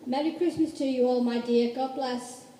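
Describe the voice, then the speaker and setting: A gentle, devoted father and humble clerk is acted by a woman in a radio drama. The voice is high and mellow, ringing in an echoey hall. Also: fairly even intonation, normal speed, natural voice. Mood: fond. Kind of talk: amateur stage radio play acting. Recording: echoey hall with long reverb.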